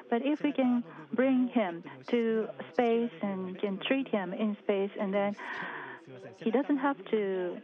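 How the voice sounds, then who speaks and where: A woman interpreting into English, hesitant, with many fillers and restarts. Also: mid-range voice. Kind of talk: simultaneous interpretation. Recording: phone-like narrow-band feed.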